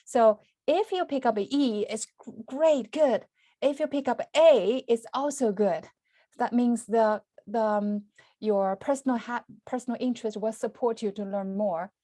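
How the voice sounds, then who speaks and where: Woman teaching in english, mellow voice, dry close sound, lots of restarts. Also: a little high, a little slow, dry recording. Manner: frequent restarts and soft laughs; speaks English as second language, simple grammar. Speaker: Mandarin teacher. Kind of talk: online class talk.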